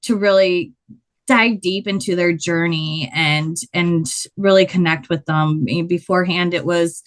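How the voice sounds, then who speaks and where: A woman with a loud voice, a body painter telling her story in a casual interview, animated and quick to laugh. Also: lowish voice.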